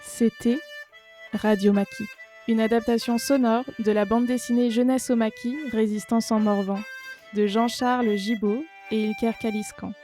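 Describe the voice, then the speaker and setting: A woman's voice: fairly high pitch, fairly even intonation, normal speed. A woman reading scripted end credits as an announcer. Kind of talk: scripted narration. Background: soft music.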